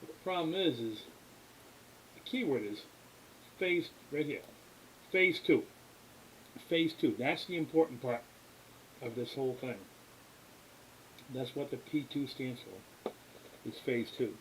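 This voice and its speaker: An American man hosting a casual explanatory livestream, talking in a halting, rambling, folksy way. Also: mid-range voice, animated. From New England, US. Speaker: livestream host, radio scanner hobbyist. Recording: hissy, clearly noisy background.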